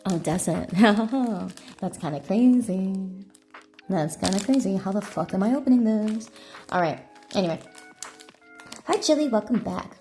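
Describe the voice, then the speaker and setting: A woman's voice: animated, measured pace, mellow, faint music behind. A woman livestreamer chatting in a rambling, conversational way over a phone microphone in an echoey room. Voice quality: self-described deeper speaking voice. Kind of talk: casual livestream chat while eating snacks. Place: echoey indoor room at night.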